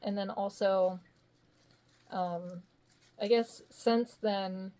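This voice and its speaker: A woman chatting casually in an interview in an ordinary middle-pitched voice. She rambles a little, fills gaps with "um" and "like", and laughs easily. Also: steady tone, very slow, dark tone. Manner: chatty and giggly, with rambling hesitant phrasing. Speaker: voice actor guest.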